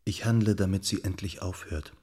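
A reflective, anxious journalist in a radio drama. His voice is low and rough-edged, his delivery measured and dry. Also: dark tone. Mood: pensive. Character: thoughtful, anxious male journalist, candid and dryly articulate.

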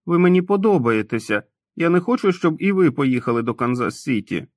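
A man's voice: a little high, sing-song, brisk, a little mellow, dry recording, studio quality, pitched above the performer's own voice. A man voicing a simple-minded, childlike grown man who talks eagerly and trustingly about himself. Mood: pleading.

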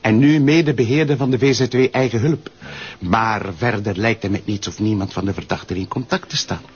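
An actor playing a courteous, measured Flemish police detective in a crime drama. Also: male voice, lowish voice, breathy edge. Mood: pondering. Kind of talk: broadcast crime drama acting. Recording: dull lo-fi sound.

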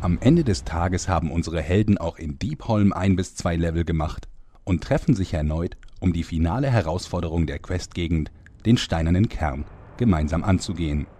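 A man's voice: low-pitched, expressive, normal speed, dark tone. A man delivering scripted narration as the storyteller of an audio drama.

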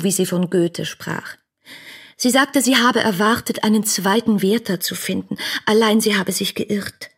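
Ardent, husky young woman. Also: mid-range voice. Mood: indignant, brisk. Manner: impassioned, expressive literary delivery. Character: fiery, headstrong young woman writing adoring letters. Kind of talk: German radio play, dramatic letter reading.

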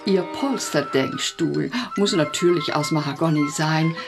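A woman playing a formal, flattering go-between in a German radio play, courteous, precise and persuasive. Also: sits low, a little slow, gravelly, music in the background.

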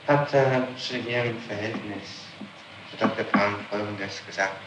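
A man working as a public prosecutor, questioning formally and reading out testimony in a deliberate, official manner. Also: lowish voice, fairly even intonation. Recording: noisy echoey archival tape recording.

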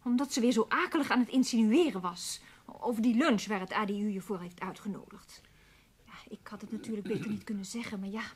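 An actress playing an exasperated, sharp-tongued middle-aged wife in a radio play, with an emphatic, scolding delivery. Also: female voice, a little high, breathy edge. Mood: indignant. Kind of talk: Dutch radio drama acting.

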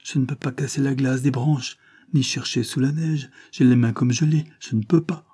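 A man's voice: mid-range voice, steady tone, normal speed, dark tone, slightly rough, voice pushed up, close to an everyday voice. Man voicing a plaintive, freezing little child. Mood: pleading, miserable. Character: freezing, mistreated small child, meek and pleading.

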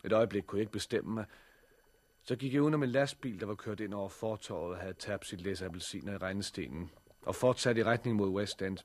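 An actor playing a calm, composed sighted man who also narrates, in a 1960s radio drama. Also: on the low side, dry recording, lightly acted. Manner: measured, quietly composed.

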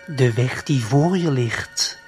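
A man telling a scripted audio drama story as narrator, with measured phrasing and long pauses. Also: medium pitch, lively intonation, darkish tone. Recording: music behind the voice. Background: music.

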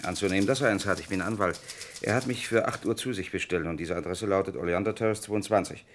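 A man's voice: low voice, fast talker, close to an everyday voice. Fields: Sex: man. Figure: a man playing a puzzled, persistent lawyer. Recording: noisy. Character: puzzled male lawyer, middle-aged, polite, persistent. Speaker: lawyer in a radio crime play. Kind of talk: 1950s-80s radio drama acting. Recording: old radio play with noise.

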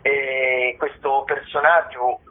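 A man's voice: mid-range voice, little pitch movement, slow. A man hosting a radio show, talking through event listings informally, with hesitant, discursive, improvised phrasing. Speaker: radio theatre show host. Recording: thin, band-limited telephone-like radio sound.

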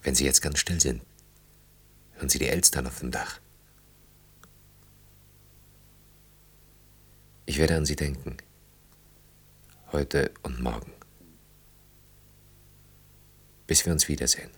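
Very deep-voiced earnest man. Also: steady tone, moderate pace, pauses often, a bit bright, breathy edge, heavily acted. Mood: tender, subdued. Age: 30s. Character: earnest, self-reproaching man of 32 in love. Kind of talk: German radio play acting.

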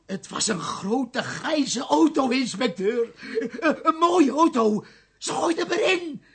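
An old fantasist in a radio drama, his voice very high and cracked with creak. He stammers, repeats himself and pleads insistently, thoroughly muddled. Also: lively intonation, unhurried, a bit bright, full character voice. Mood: anxious, pleading. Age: older. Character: confused, pleading old fantasist begging to be locked up. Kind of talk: radio play acting.

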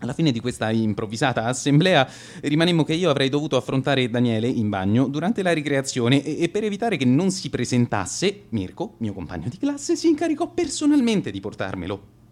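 A man's voice: medium pitch, very animated, a little fast, a little mellow, close to an everyday voice. An actor playing a cowardly teenage schoolboy who boasts as an accidental bully, telling his story in a radio drama monologue with stammered hesitations. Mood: tense, rueful.

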